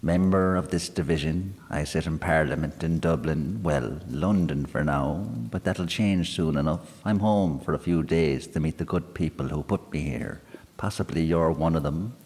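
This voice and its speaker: A man's voice: rumbling low, normal speed, a little mellow. A man voicing a proud, boastful politician in his forties, holding forth in a pub. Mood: hearty. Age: 40s. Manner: pompous, boastful, self-satisfied. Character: proud middle-aged male politician, barrister. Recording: long hall reverb.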